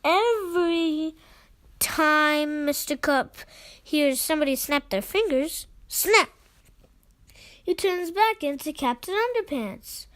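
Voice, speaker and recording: A child reading a children's book aloud expressively, with halting delivery and stumbles over words. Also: high-pitched, a bit bright.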